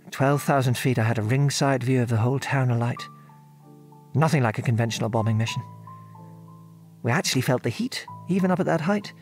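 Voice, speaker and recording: A man's voice: medium pitch, fairly quick, a little mellow, faint music behind, close to an everyday voice. An actor playing a modest, remorseful English father and former RAF bomb aimer in an audio drama, with a British accent and hesitant, genteel speech. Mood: remorseful, haunted. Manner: hesitant, reflective, genteel old-fashioned turns of phrase. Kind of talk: audio drama acting, reminiscing monologue.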